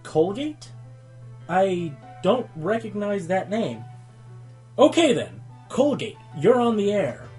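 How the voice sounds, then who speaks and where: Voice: medium pitch, big pitch swings, takes it slowly, a little mellow, soft background music. An actor playing a showy, easily exasperated pony who hosts a radio show in a radio play.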